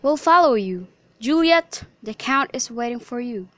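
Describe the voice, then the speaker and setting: A woman's voice: a little high, animated, unhurried, dry recording, clean studio sound. A woman in an amateur radio drama, playing a commanding noble mother who speaks insistently. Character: commanding noble mother pressing her daughter.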